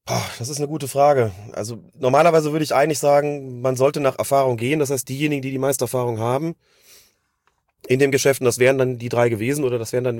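Fast-talking man with a congested voice. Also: medium pitch, a little mellow, no echo, clean studio sound. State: congested voice from a cold. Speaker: podcast commentator on football refereeing. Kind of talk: casual analytical podcast talk.

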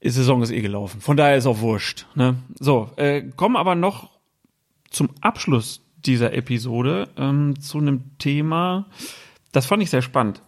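A man's voice: medium pitch, lively intonation, moderate pace. A male podcast host leading a casual chat with a jokey, teasing manner.

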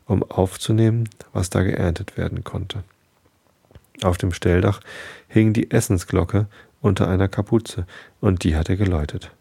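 A man with a nasal head cold reads a bedtime story in a calm, unhurried way. His voice is very low and dark, with a husky, gravelly grain. The close podcast mic gives a dry, intimate sound. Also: no echo. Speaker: podcast host.

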